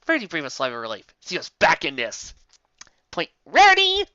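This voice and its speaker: Animated, bright-voiced storyteller. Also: mid-range voice, fast, no room sound, dull audio. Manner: animated, theatrical. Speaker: storyteller, fan fiction reader. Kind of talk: dramatic story narration.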